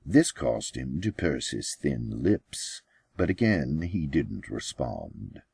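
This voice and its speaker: A man reading his own fiction as an audiobook, with deliberate, dramatic phrasing and pauses. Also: a bass voice, big pitch swings, vocal fry, a little mellow, breathy edge, dry recording, studio quality. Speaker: author reading own novel. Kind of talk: scripted audiobook narration.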